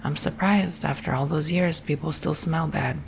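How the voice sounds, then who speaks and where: A man whispering softly and gently through an ASMR roleplay, as if doing someone's makeup. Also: a little high, steady tone, vocal fry, slight background noise. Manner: soft whispering, slow and gentle.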